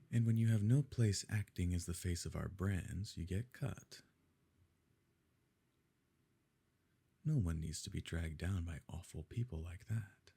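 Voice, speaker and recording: Low, gravelly evil nobleman, acted by a man. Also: full character voice. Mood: cold, menacing. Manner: measured, formal, quietly coercive. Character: smooth, possessive evil nobleman courting a grieving beloved. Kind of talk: ASMR romance roleplay, scripted dramatic monologue.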